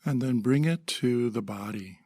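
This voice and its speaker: A man leading a guided meditation in a calm, gentle manner, in short measured phrases with pauses. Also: mid-range voice, no room sound, clean studio sound.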